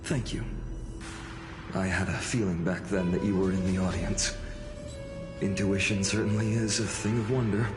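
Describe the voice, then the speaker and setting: A man voicing a deep, earnest young leader. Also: normal speed, pauses often, lightly acted. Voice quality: calm, courteous. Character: earnest young male leader, polite and respectful. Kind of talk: game voice acting. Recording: roomy echo, music behind.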